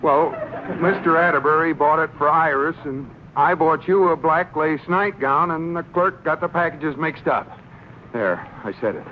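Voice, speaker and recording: An actor playing a flustered, scheming but soft-hearted husband in an old radio sitcom, stammering and exclaiming. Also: male voice, a little high, moderate pace, lightly acted. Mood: sheepish. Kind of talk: 1940s-50s radio situation comedy acting. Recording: muffled old radio recording, faint noise.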